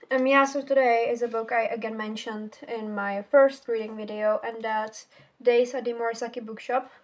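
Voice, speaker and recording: A woman's voice: a little high, fairly even intonation, moderate pace. A teenage girl whose first language is Czech, casually reviewing a book in a rambling, self-correcting way with casual swearing. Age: teens. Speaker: book vlogger.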